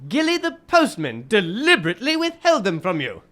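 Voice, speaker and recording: A man playing a shrewd, commanding detective, lively intonation. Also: high voice, fairly quick, very noisy. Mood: shrewd, probing. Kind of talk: radio drama acting.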